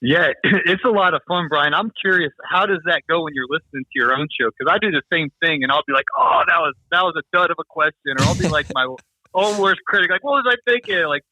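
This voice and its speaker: A man who is a guest on a podcast, chatting casually in a quick, energetic way. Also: fairly high pitch, dry recording, poor audio.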